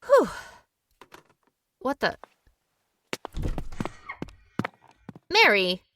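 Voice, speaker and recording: An actress playing a proud, secretive businesswoman who speaks briskly and tartly in a radio play. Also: high voice, wildly swooping pitch, sharp tone, slight background noise, heavily acted. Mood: flustered. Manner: brisk, tart and defensive. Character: sharp, proud woman business owner, secretive. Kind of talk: radio drama acting.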